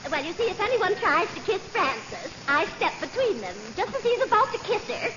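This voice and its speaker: A woman's voice: extremely high pitch, sing-song, fairly quick, slight background noise, heavily acted. A woman doing a giggly man-crazy spinster. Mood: coy. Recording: dull old radio with loud audience.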